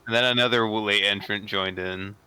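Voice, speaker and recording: A man's voice: on the low side, fairly even intonation, brisk, crowd noise, rough recording. A man casually commenting and reading aloud in a group story reading.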